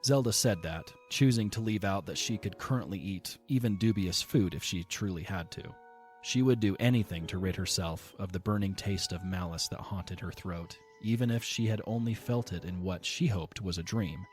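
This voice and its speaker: Low-voiced male storybook narrator. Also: normal speed, a little mellow, faint music behind. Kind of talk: storybook narration of an audio drama.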